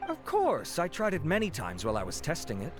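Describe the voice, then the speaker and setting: A vain researcher selling his invention in a video game. His voice is fairly high for a man and swoops theatrically with self-assured persuasion, over clear background music. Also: huge pitch swings, fairly quick. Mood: rousing. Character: vain, dashing male researcher and product inventor. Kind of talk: video game voice acting, sales speech.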